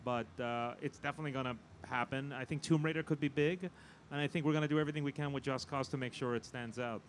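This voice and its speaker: Halting man with mid-range voice, noisy background. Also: mid-range voice, steady tone. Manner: halting, often repeats words. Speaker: film producer being interviewed. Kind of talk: casual interview chat.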